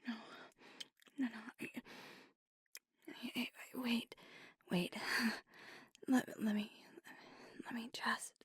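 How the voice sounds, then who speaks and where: A woman performs a tender, nervous vampire girlfriend in an ASMR roleplay. Her voice is very breathy and mostly whispered, with heavy creak at the edges. She confides slowly and haltingly, very close to the microphone. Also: mid-range voice, fairly even intonation, a little mellow, no echo, full character voice. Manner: hesitant, halting, earnest confiding. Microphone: close, dry microphone.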